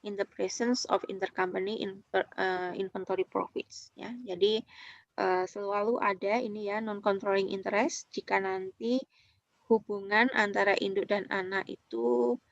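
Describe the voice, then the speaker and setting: A woman with an Indonesian accent giving an explanatory lecture as a university teacher, speaking haltingly and often checking in with short tag words. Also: medium pitch, steady tone, vocal fry, no echo, muffled recording. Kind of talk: online accounting lecture, explanatory.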